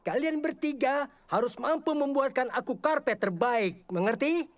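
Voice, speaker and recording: A man playing a bossy, imperious sultan in an animated cartoon. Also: very high voice, moderate pace. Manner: imperious, commanding. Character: bossy, demanding male sultan. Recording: music playing behind the voice.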